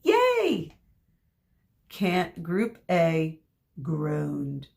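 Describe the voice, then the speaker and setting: A woman narrating a children's story aloud like a teacher, expressive, dramatic and deliberate. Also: low voice. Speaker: teacher reading aloud to students.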